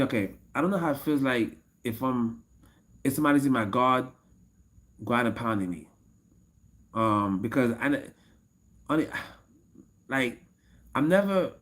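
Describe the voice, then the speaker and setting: A man of fifty-one talking casually to his livestream chat as an MMA coach, rambling and repeating himself and laughing often. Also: medium pitch. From United States. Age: 50s. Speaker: MMA fighter and coach streaming live.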